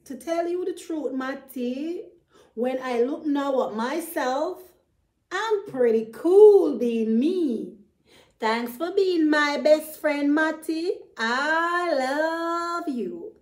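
A woman's voice: high voice, animated. A woman voicing a wistful young rat in a children's storybook, dreamy and rhyming. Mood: grateful. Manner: dreamy, slow, rhyming delivery.

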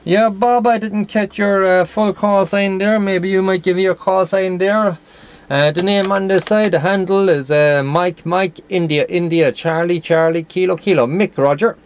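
A man's voice: high-pitched, steady tone, moderate pace, smooth. An Irish man from Cork chatting as a CB radio operator, repeating and spelling out words in radio phonetics. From Ireland. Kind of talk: radio contact chat. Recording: noisy CB radio shack recording.

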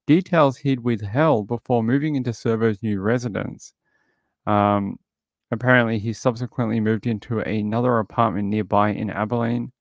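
Gravelly-voiced man chatting on a livestream. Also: medium pitch, no echo. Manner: chatty, greets viewers, recaps and reads articles aloud. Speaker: livestream host reviewing a true crime show. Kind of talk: casual livestream commentary.

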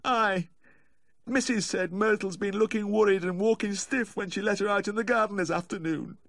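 A man's voice: sits high, moderate pace, creaky, fairly bright tone, no echo, clean studio sound, pitched above the performer's own voice. A man voicing a maudlin, panicky, drunken Yorkshire dog owner in a storybook, with a tearful wail.

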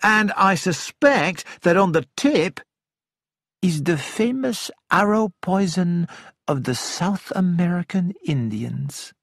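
A man voicing an excitable, knowing gentleman in an audiobook, with a dramatic, exclamatory manner. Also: sits high, slow pace, vocal fry, no room sound, clean studio sound, pitched above the performer's own voice, full character voice. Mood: amazed, excited.

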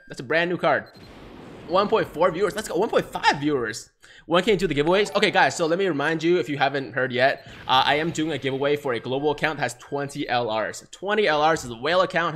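Excitable young man streaming live. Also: a little high, slight background noise. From China. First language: Mandarin. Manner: excitable, hyped, chatty, reading chat aloud. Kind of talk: live-streamed gaming commentary. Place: small room, seated in gaming chair. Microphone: headset.

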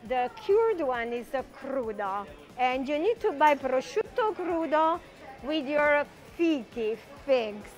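A woman's voice: sits high, big pitch swings, slow pace, soft background music. A middle-aged woman with an Italian accent, a native Italian speaker teaching informally on location and repeating key words with translations. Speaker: language and culture teacher. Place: food market stalls and deli shop.

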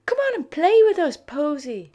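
A woman puts on a little rabbit boy from a children's storybook. He is friendly and excitable, calling out in a high, eager, childlike voice with music underneath. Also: normal speed. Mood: excited.

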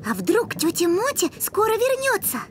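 A woman's voice: very high-pitched, huge pitch swings, moderate pace, slight background noise, full character voice. A woman voicing a sweet, polite little boy creature from the moon in a children's cartoon, innocent in manner. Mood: earnest.

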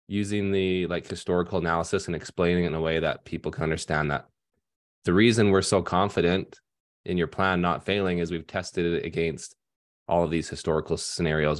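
A man in his thirties, a financial advisor on a video call panel, talking casually with many fillers and restarts. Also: low voice. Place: home room at night. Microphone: headset on video call.

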